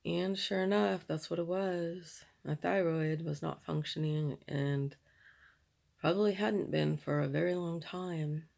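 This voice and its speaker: A middle-aged woman with a raspy voice, chatting candidly while doing her makeup, in a slow, rambling manner. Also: deep voice, steady tone, a little mellow. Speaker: beauty vlogger.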